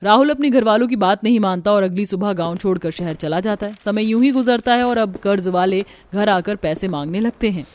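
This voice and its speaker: Woman narrating a story, mid-pitched. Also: fairly quick, hardly any pauses, darkish tone. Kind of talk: scripted cartoon story narration.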